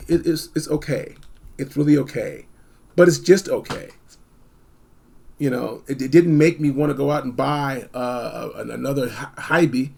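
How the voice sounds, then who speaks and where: A man giving a casual, unscripted gadget review, blunt and informal, rambling and repeating phrases for emphasis, with a gravelly, husky voice. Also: mid-range voice, moderate pace, mellow. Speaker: product reviewer.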